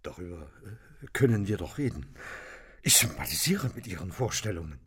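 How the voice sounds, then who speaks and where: An actor in a radio drama playing an evasive, self-important politician who speaks haltingly and ingratiatingly. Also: male voice, sits low, sing-song, husky, heavily acted. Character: evasive, ingratiating male politician with a guilty secret. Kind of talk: German radio drama acting.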